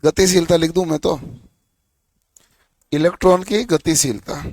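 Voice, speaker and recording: A hoarse man with a fairly high voice teaches a lesson. He keeps asking the students questions and then answers them himself. The sound is dry and close, with no room echo. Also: steady tone, unhurried, darkish tone. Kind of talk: classroom lecture.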